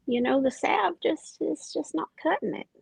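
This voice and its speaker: Woman doing a gravelly old lady. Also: high voice, expressive, no echo, poor audio. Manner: halting, folksy.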